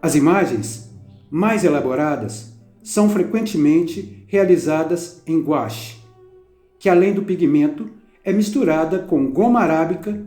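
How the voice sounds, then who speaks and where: Mellow male documentary narrator, roomy. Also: on the high side, expressive, a little slow. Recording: roomy narration over soft instrumental music.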